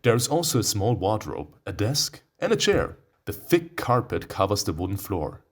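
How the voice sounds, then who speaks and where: A man reading a visual novel aloud, expressive and playful, breaking into casual, profane asides. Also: lowish voice, moderate pace. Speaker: let's player. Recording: music behind the voice.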